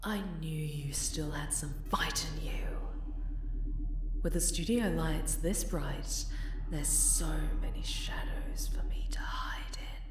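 A woman voicing an obsessive, possessive winged supervillainess in an ASMR roleplay, theatrical and breathy, often sinking into whispers, with menacing tenderness. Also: low voice, slow pace, reverberant. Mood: taunting, adoring.

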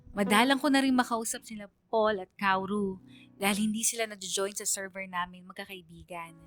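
A woman's voice: medium pitch, fairly bright tone, close to an everyday voice. A woman playing a young student who narrates a personal story in a first-person radio drama. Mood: hurt, puzzled. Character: young female student recounting a lesson from friendship and love. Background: instrumental music.

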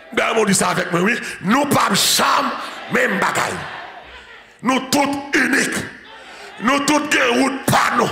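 Haitian pastor in his forties, bright forceful delivery, slow and chanting, handheld mic in a church hall. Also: very high-pitched, gravelly. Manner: shouting, chanting repetitive preaching. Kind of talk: sermon. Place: large church sanctuary with congregation. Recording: echoey church hall, organ music.

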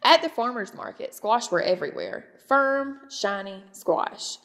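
A woman narrating a children's storybook aloud, expressive and clearly phrased. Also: fairly high pitch, a little slow, big-room sound. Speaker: storybook reader.

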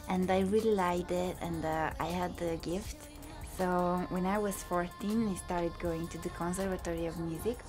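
A young woman from Hungary with a Hungarian accent, answering interview questions casually in a recording studio, with frequent fillers and light laughs. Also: fairly low pitch. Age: young adult. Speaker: music student. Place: recording studio control room. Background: background music.

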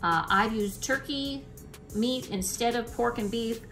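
A middle-aged woman talks through a recipe in her home kitchen, chatty and thinking aloud as she cooks. Her voice sits slightly high. The room is echoey, and music plays clearly underneath. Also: a little slow, a bit bright. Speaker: cooking vlogger. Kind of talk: casual cooking demonstration.

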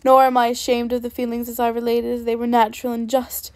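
Darcy, a woman reading a cold proud gentleman. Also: on the high side, completely flat delivery, fast talker, dry recording, close to an everyday voice. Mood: cold restraint. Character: proud wealthy young gentleman, cold and stiff.

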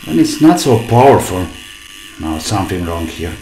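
A man's voice: sits low, big pitch swings, normal speed. A middle-aged man giving casual running commentary while repairing, musing aloud in short bursts and asking himself questions. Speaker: hobby repairer presenting his own video. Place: small indoor home workshop at a desk. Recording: echoey room with loud noise, clicks.

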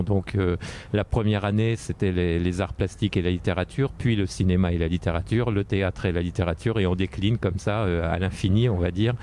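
A man's voice: sits low, a little mellow, a little hiss or hum. A middle-aged man organizing a book festival, answering interview questions hesitantly with many fillers, speaking into a handheld mic in a tent. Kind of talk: interview at an event. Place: tent at a book fair, outdoors. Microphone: handheld mic held close.

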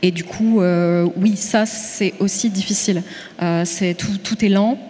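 A woman's voice: medium pitch, fairly even intonation, unhurried, dark tone. Earnest woman on a reverberant stage. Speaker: studio executive assistant, panelist. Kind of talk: panel discussion. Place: conference stage in a large hall. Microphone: handheld microphone. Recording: reverberant hall, faint ambience.

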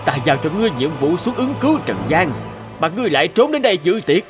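A man voicing a stern, imperious old heavenly emperor in an animated fairy tale, speaking formally and with command. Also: sits high, fast talker. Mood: angry. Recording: music under the voice.

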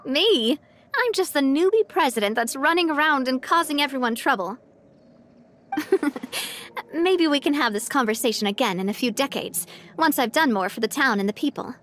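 An actress playing a warm, resolute young woman leader in a video game, wistful yet upbeat. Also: sits high, expressive, normal speed, a little hiss or hum. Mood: earnest, modest. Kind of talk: video game character acting.

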